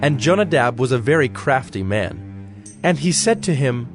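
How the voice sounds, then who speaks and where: A man's voice: medium pitch, very animated, normal speed. A man giving a dramatic scripted narration of scripture as the narrator. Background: music.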